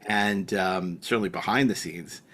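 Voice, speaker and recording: Low-voiced, halting male podcast host. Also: a bit bright. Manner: hesitant, halting, repeats words. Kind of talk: casual, rambling podcast chat.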